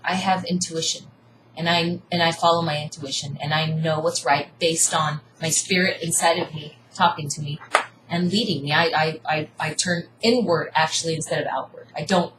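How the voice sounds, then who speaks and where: A woman's voice: low voice, steady tone, normal speed, fairly bright tone. Insistent woman in an echoey room. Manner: earnest, insistent, preachy about seeking truth. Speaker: co-host debating. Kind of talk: casual argument. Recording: echoey room, faint hiss.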